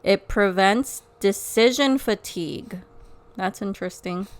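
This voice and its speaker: A woman's voice: mid-range voice, a little slow. A young woman co-hosting a podcast in easygoing casual chat, reading out a list with her own asides. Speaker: podcast co-host.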